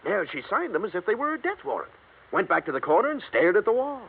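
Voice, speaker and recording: A man's voice: sits high, very animated, fast, creaky, breathy edge, narrow, tinny audio, full character voice. A man with an American accent playing a wisecracking, gossipy insurance man in an old radio drama, telling things in colorful slang. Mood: baffled, colorful. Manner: jokey, colorful, slangy storytelling. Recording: thin, band-limited vintage radio recording.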